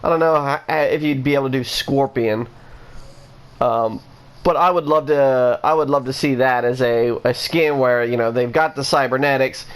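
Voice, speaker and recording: A man's voice: medium pitch, moderate pace, clean tone. A man talking casually into the camera, rambling with lots of restarts and fillers as he lists what he wants to see in a game. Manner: rambling, many restarts and "you know" fillers. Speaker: gaming vlogger. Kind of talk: casual rambling opinion talk.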